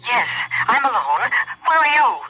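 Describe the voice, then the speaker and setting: An actress playing a nervous, frightened wife drawn into a scheme, speaking in an anxious, faltering way in a 1970s radio drama. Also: female voice, a little high, very animated, measured pace, background noise.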